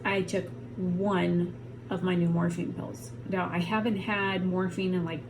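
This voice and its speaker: A frank, rambling woman talks to her camera from home while she is in pain, and her voice sits a little low. The home recording carries a clear layer of background noise under her talk. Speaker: vlogger. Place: at home on a heating pad.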